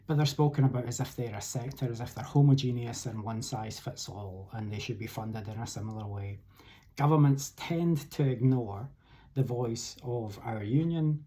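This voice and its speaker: A middle-aged Scottish man speaks straight to camera, pitching himself as a candidate in an earnest, persuasive way. His voice is very dark and mellow and sits at an ordinary pitch for a man. He keeps a steady flow, with only the odd "uh". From Scotland. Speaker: union election candidate, academic psychologist. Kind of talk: campaign video address.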